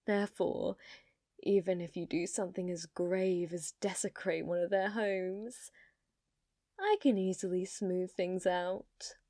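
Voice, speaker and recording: A woman's voice: on the low side, steady tone, a little slow, mellow, no echo, studio quality. A woman voicing an ancient, possessive female yokai in an intimate ASMR roleplay, coyly sweet yet menacing, with a teasing manner that often drops toward a whisper. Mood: indulgent, protective.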